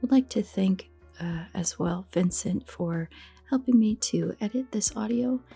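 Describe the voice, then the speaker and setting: A woman's voice: mid-range voice, unhurried, gravelly, mellow, slightly rough, soft background music. A woman audio performer speaking casually as herself in an outro, thanking her listeners and supporters.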